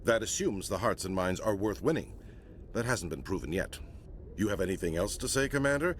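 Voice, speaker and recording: Man acting a gruff, animated space navy admiral. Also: lowish voice, fairly quick, slight background noise, close to an everyday voice. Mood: grudging, firm. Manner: blunt, stern, grumbling. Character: gruff, disapproving senior space navy admiral inspecting a warship. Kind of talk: video game voice acting.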